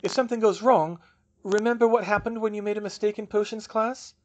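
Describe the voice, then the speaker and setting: Man voicing a high-pitched, passionate young British witch. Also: fairly even intonation, brisk, lightly acted. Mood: firm, warning. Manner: emphatic, indignant protesting.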